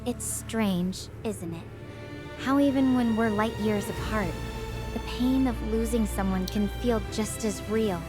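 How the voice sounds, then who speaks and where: A woman voicing a stranded young scientist who speaks softly and reflectively, asking sympathetic questions over background music. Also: on the high side, unhurried, lightly acted. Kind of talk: improvised fan audio drama.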